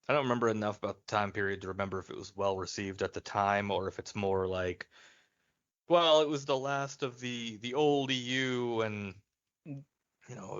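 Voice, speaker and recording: A man's voice: mid-range voice, fairly bright tone, muffled recording. A man co-hosting a podcast in loose, joking, casual fan chat. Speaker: podcast co-host.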